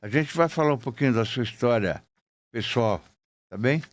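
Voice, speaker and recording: A man's voice: mid-range voice, lively intonation, moderate pace, dry recording. A man with a Brazilian accent hosting a casual interview, conversational, with short affirming interjections. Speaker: podcast host and interviewer.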